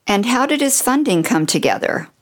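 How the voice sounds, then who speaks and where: A woman's voice: on the high side, big pitch swings, moderate pace. A woman acting as radio interviewer, reading short scripted links and questions.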